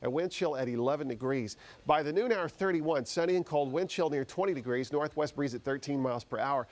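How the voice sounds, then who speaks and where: A man's voice: fairly high pitch, a little fast. An American man presenting a live TV weather forecast in a conversational, folksy way.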